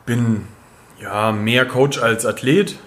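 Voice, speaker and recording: A man's voice: medium pitch, slow pace, fairly bright tone. A man chatting casually as a podcast co-host, dropping English slang into his talk. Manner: peppers speech with English slang. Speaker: podcast co-host, calisthenics coach.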